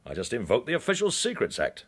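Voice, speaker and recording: An actor playing a menacing, sardonic British secret service interrogator in a radio crime drama, speaking in a measured, insinuating way. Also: male voice, medium pitch, huge pitch swings, slightly rough.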